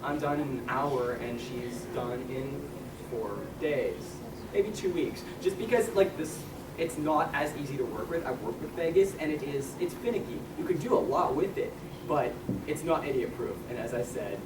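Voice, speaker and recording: A man's voice: mid-range voice, lively intonation, moderate pace. A young man speaking casually on a convention panel, chatty and self-deprecating with plenty of fillers. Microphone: far camera. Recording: echoey room, distant camera, loud noise.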